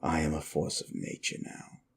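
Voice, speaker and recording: A man playing a wicked, contemptuous immortal demon in an ASMR roleplay, speaking in a theatrical, brooding way with a gravelly voice and deliberate pauses. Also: natural voice. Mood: cold, contemptuous. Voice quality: low, gravelly. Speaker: audio roleplay performer. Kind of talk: ASMR roleplay monologue, addressed to the listener.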